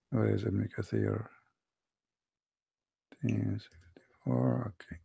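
A man's voice: fairly low pitch, creaky, dark tone, husky, dry recording. A man from the Middle East, a native Arabic speaker, hosting a religious debate and questioning a caller sarcastically. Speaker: host.

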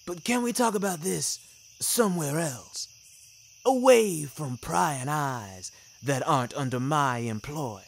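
A man's voice: fairly high pitch, lively intonation, slow pace, creaky, slight background noise, heavily acted. A man voicing a jovial, worldly old wolf in an audio story, theatrical and genial with a sly edge. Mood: conceding, persuading. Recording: music bed under the voice.

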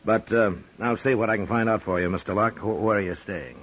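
An actor playing a gruff, steady frontier lawman with an American accent, laconic and authoritative, in a 1950s radio western. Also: on the low side, fairly quick, a little hiss or hum, natural voice, poor audio. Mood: dry, businesslike. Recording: 1950s radio drama recording.

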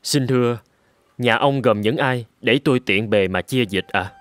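A man's voice: medium pitch, a little slow, a bit bright, no room sound, lightly acted. Man as a humble, earnest peasant father. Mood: humble, polite. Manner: polite, earnest. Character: poor, gentle, clever peasant father, humble and caring. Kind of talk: animated folk-tale cartoon dub.

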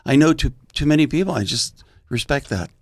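A man's voice: fairly high pitch, animated, fairly quick, no echo. A middle-aged man in a casual panel chat, speaking into a close studio microphone. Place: podcast studio. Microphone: close studio microphone on boom arm.

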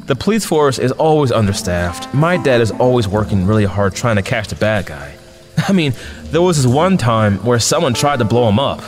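A man's voice: medium pitch, sing-song, moderate pace, natural voice. A man playing an awkward, earnest young captive superhero in an audio drama, speaking hesitantly. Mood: casual, modest. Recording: long reverb over faint music.

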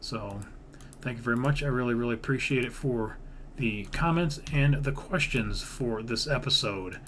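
A man with an average-pitched voice narrates his own game build in a casual, rambling way, thinking aloud and half-muttering as he goes. He is recorded indoors at a computer, with clear background noise and typing. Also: normal speed, fairly bright tone. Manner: rambling, half-muttering counts, mild swearing. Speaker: gaming video creator narrating his own build series.

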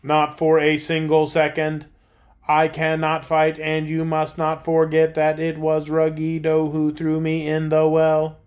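A man doing a slow, stiff clockwork man. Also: fairly high pitch, monotone, a little mellow, muffled recording, close to an everyday voice. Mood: stiff, reluctant. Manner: slow, measured, mechanical.

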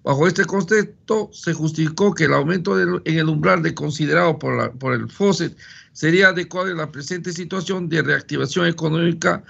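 A man chairing a committee reads a formal text in a measured way. His voice is gravelly and husky, yet bright and fairly high. It comes through a muffled, lo-fi line. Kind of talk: formal session chairing and reading.

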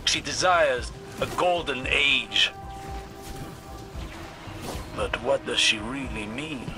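A man playing a solemn, resolute warrior ally in a video game, speaking formally and gravely. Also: medium pitch, animated. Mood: grave, determined. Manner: formal, grave, deliberate wording. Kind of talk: video game character dialogue. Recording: game music behind the voice.

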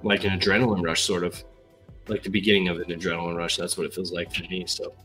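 Young guy hosting a livestream on a headset, lowish voice, chatty, faint music behind. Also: flat delivery, fast talker, fairly bright tone. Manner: chatty, reads viewer comments aloud. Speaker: livestream host. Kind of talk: casual livestream chat. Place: indoor room, gaming chair.

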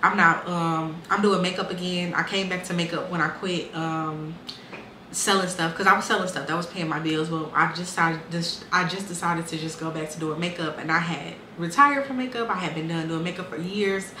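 A woman's voice: fairly low pitch, fairly even intonation, normal speed, pushed and bright, roomy sound, slight background noise. A woman in her twenties casually and candidly telling her life story, with lots of "um" and "like". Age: 20s. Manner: candid, conversational, frequent fillers and restarts.

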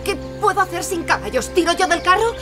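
An actress playing a proud, feisty noblewoman in a Spanish audio drama, indignant and sharp-tongued. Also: extremely high pitch, sing-song, fairly quick. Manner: haughty, indignant, courtly. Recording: dramatic music behind the voice.

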